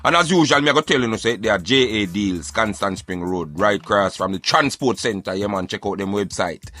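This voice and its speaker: A man with a Jamaican accent talking casually and emphatically as a radio host, giving his opinions and addressing listeners like friends. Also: fairly low pitch. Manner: animated, emphatic, repeatedly addressing listeners as brethren.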